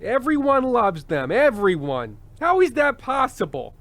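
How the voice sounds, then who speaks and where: A man playing a world-weary, fretful television host with halting delivery in an old-time radio drama. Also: very high voice, wildly swooping pitch, fairly bright tone, no room sound, exaggerated voice. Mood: alarmed, insistent. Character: anxious, world-weary male TV show host.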